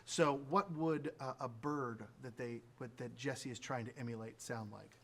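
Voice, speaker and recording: A man's voice: medium pitch, normal speed, fairly bright tone. A man from a radio drama troupe, casually prompting sound effects and rambling with many fillers and restarts. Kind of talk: casual demonstration talk.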